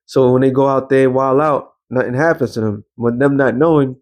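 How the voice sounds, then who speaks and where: Man, mid-pitched voice, informal street preacher, blunt, slangy, profane, teacherly rhetorical questions. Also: fairly even intonation, brisk, darkish tone. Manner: rhetorical questions and repeated check-ins like a teacher. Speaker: preacher and show host. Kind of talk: informal street sermon with scripture reading and commentary.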